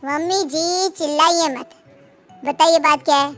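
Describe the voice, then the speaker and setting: A woman's voice: high-pitched, unhurried. A woman voicing a lazy, whining, cheeky son-in-law in a cartoon moral story. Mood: making excuses. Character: lazy, whining live-in sons-in-law making excuses. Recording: music behind the voice.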